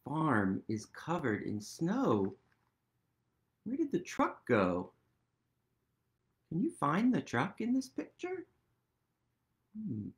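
Expressive, slow-paced man reading to children. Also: fairly high pitch. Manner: gentle, expressive, pausing, questioning. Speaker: storytime reader. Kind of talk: picture-book read-aloud with questions to young children.

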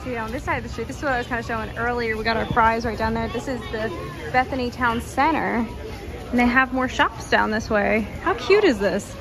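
A woman's voice: on the high side, fairly quick, a crowd in the background. A young woman filming a casual, chatty travel vlog on a handheld phone, gushing over shops and places. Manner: bubbly and chatty, lots of gushing. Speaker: vlogger. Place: outdoors and in shops at a beach town, later in a car. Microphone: handheld phone camera.

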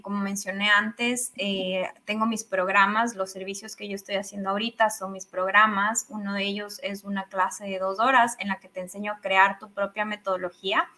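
A woman in her thirties from Ecuador with an Ecuadorian Spanish accent, a podcast guest chatting expressively about her coaching work over a video call. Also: mid-range voice. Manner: expressive, enthusiastic, many fillers and restarts. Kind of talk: casual interview chat. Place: home office with a big microphone. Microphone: studio microphone on video call.

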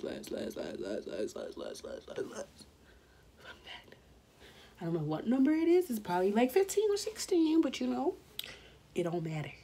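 A woman's voice: lowish voice, husky. Chatty young woman vlogging from her bedroom. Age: young adult. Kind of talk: casual chat to camera. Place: small bedroom, indoors.

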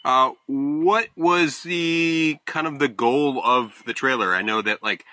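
A male podcast host with a very bright, forward voice and a close, dry sound. He interviews in a relaxed conversational style, often stopping and rewording his questions as he goes. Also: mid-range voice, no room sound. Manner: halting, rephrasing questions as he goes. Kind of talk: casual interview chat.

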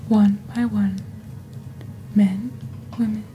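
A woman playing a composed, eerie witch saleswoman in a hushed, deliberate ASMR whisper, close to the microphone. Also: medium pitch, flat delivery, lo-fi sound, faint music behind, natural voice. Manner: slow, deliberate, hushed storytelling delivery. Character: female witch, eerie, composed seller of cursed wares. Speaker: ASMR host. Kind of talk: soft-spoken whispered ASMR, scripted shopping-channel roleplay. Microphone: close studio microphone on a desk.

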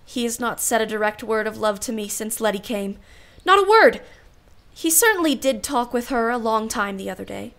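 A proud, genteel aunt from a period radio drama. Her voice sits a little high, and she speaks formally and precisely, with an arch, wry edge. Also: fairly bright tone. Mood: indignant. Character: proud, wry, refined aunt, secretly jealous. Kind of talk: period radio play acting.

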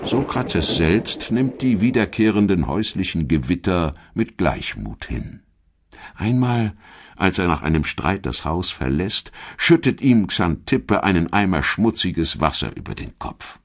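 A man reading scripted documentary narration in a measured, articulate storytelling manner. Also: low voice, big pitch swings.

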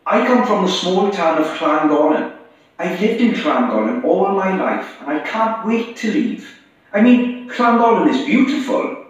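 A man's voice: sits high, sing-song, a little slow. A man playing a restless small-town Welsh youth in a scripted radio interview. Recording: muffled echoey playback through classroom speakers.

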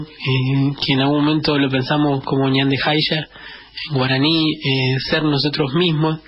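High-pitched Argentine man giving a radio editorial. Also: slight background noise. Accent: Greater Buenos Aires Argentine. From Greater Buenos Aires, Argentina. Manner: reflective, repeats phrases for emphasis. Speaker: radio host. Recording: dull band-limited radio sound, music behind.

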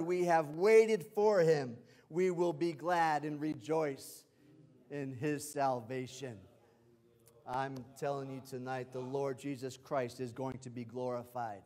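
A man preaching from a church pulpit, his voice strained as he loses it. He swings between ringing, shouted praise and quiet, low passages. His pitch sits in an ordinary middle range. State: losing his voice. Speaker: pastor. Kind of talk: sermon. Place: church sanctuary.

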